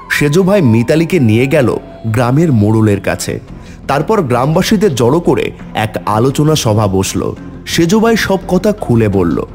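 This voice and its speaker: A man's voice: mid-range voice, a little mellow. A man narrating a scripted folk tale in a dramatic storytelling style.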